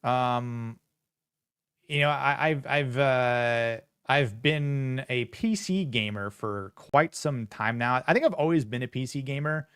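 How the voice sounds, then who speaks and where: A man's voice: mid-range voice, takes it slowly, fairly bright tone, clean tone, no room sound. A man hosting a livestream, chatting casually and rambling with many fillers and restarts into a close mic. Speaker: streamer and show host.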